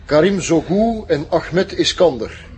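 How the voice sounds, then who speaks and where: Slight ambient noise: Flemish TV police chief, lightly raised pitch, plain-spoken, dryly amused. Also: male voice, very animated, measured pace. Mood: calm, dry. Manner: matter-of-fact. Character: matter-of-fact male local police commissioner, mildly amused. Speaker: police commissioner. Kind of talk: TV crime drama dialogue.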